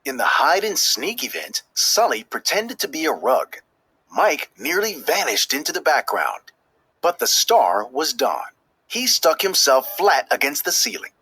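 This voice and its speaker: A man reading a children's story aloud as scripted narration, with expressive, emphatic delivery that draws out key words. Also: on the high side, measured pace, breathy edge, no echo, low-quality recording. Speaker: storybook reader.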